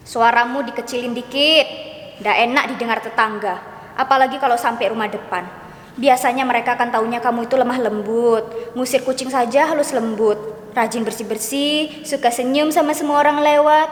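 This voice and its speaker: Kakak perempuan, tenang tapi menusuk, dibacakan seorang perempuan; suara tinggi, gaung panjang, desis samar. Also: female voice, high voice, close to an everyday voice. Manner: measured, mocking reproach. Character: older sister, calm but needling and scolding. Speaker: dramatic reader. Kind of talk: dramatic reading of a stage script. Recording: echoey hall with faint noise.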